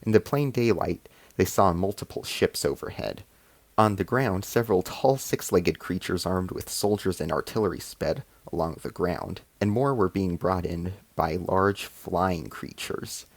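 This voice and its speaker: A man's voice: low-pitched, measured pace, no echo. A man reading a science fiction short story as scripted narration.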